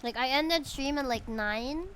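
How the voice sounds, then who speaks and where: A woman's voice: high voice, a little slow, fairly bright tone, a little hiss or hum. A woman, chatting casually and reading game dialogue aloud on a livestream. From Philippines. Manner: chatty, reacts with exclamations.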